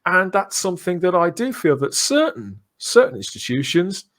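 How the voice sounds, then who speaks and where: A man's voice: high-pitched, sing-song, normal speed, fairly bright tone. A British man hosting a casual fan podcast, chatty and often repeating phrases as he builds a point. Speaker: podcast host.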